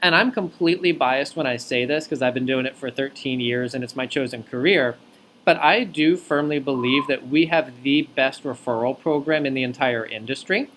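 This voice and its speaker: A man working as a recruiter on a phone interview, explaining things clearly point by point in an articulate way. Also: medium pitch, moderate pace, fairly bright tone, slight background noise, low-quality recording. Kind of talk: explanatory job interview talk.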